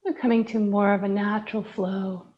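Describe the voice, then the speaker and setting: A woman teaching yoga, softly and soothingly guiding a breathing meditation with long pauses. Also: medium pitch, little pitch movement, muffled recording. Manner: slow, soft, soothing guidance with long pauses.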